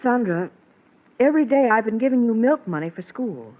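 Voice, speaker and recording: Strained mother, high expressive voice. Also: moderate pace. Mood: stern. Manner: genteel, firm, scolding. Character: strained, exasperated young mother trying to be patient. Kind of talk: 1940s radio drama acting. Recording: muffled 1940s radio recording.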